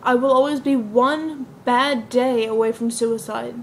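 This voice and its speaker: A woman performing an amateur stage monologue as a depressed young woman, confiding earnestly and deliberately, recorded on an iPod. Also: fairly high pitch, fairly even intonation, a little hiss or hum. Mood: resigned, anguished.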